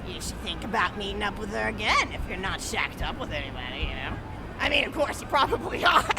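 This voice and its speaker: Game grandma: elderly, sweet but gleefully violent, rambling, very lively intonation. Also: female voice, mid-range voice, quick pace, heavy background noise. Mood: doting, gossipy. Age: older. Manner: rambling, folksy, gushing, theatrical. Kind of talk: animated video game character storytelling.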